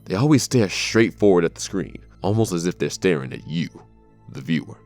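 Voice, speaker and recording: A man's voice: low-pitched, lively intonation, brisk, darkish tone. A man delivering scripted narration as a video narrator. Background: background music.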